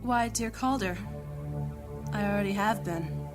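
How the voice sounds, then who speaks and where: A woman's voice: medium pitch, measured pace, close to an everyday voice. A woman playing a cold, resolute mage and ruthless teacher in a fantasy audio drama, poised and condescending. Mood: cold triumph. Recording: music under the voice. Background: music.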